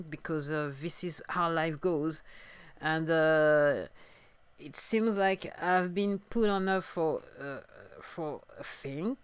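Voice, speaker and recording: French lady in her 50s thinking out loud on her podcast, lots of stops and restarts, smooth clear voice, dead dry sound. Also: on the low side, dry recording. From France. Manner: halting, searching for words, soft and musing. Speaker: singer-songwriter podcaster. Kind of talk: reflective solo podcast talk.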